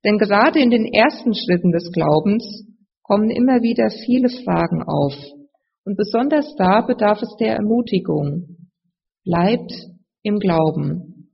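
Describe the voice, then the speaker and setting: Preacher with a slight cold, gravelly and measured, explaining scripture; muffled sound with long hall reverb. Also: mid-range voice. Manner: measured, explanatory, speaking to a congregation. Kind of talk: sermon with scripture reading. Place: large reverberant room, live address.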